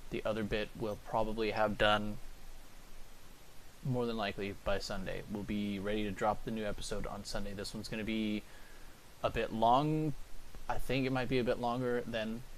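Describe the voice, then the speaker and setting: A man talking informally to viewers of his livestream, thinking aloud in a halting, casual way as he works. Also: fairly low pitch, flat delivery. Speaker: streamer and podcast maker. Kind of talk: casual livestream chat while editing. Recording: hissy, noisy home stream recording.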